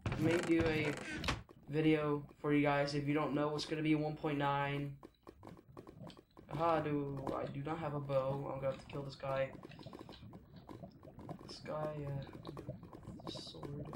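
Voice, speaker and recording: A man's voice: a little high, completely flat delivery, a little slow, pauses often. A teenage boy giving rambling, chatty commentary while playing a video game, often correcting himself mid-sentence. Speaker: gaming YouTuber. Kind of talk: casual Let's Play commentary. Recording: echoey room with noise behind.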